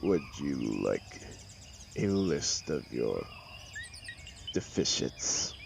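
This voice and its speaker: A man playing an arrogant, mocking telepathic supervillain in an audio roleplay, with a gravelly voice and a sneering, sarcastic, theatrical manner. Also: rumbling low, very animated, drawn-out words, background noise, full character voice. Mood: scornful. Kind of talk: dramatic audio roleplay monologue.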